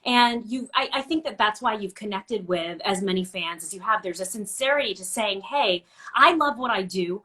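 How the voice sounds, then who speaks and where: A woman broadcaster hosting a casual interview, chatting and telling stories in an animated way. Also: medium pitch, moderate pace.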